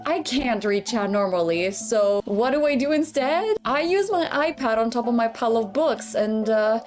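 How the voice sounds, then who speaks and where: Female VTuber, high voice, wry and playfully grumpy casual narration, clear music bed. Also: animated, normal speed, darkish tone. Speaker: VTuber and content creator. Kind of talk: casual scripted video narration. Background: background music.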